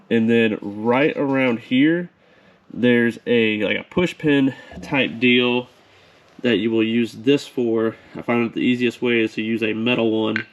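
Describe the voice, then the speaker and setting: A man's voice: medium pitch, slight background noise. A man hosting a casual car how-to video, sounding nasal from a cold as he explains the steps with plenty of fillers. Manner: relaxed, filler-heavy explaining while working on a car. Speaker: car DIY channel host. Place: garage beside the car.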